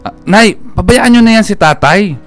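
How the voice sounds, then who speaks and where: An actor playing a dutiful young adult son in a Filipino radio drama. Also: male voice, high-pitched, lively intonation, normal speed, a bit bright, faint music behind. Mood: hesitant, placating.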